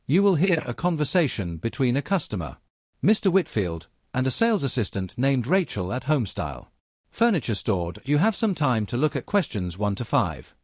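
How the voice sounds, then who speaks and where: Male narrator, formal scripted exam instructions, lively rise and fall, dry close sound. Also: mid-range voice, normal speed, hardly any pauses, no room sound.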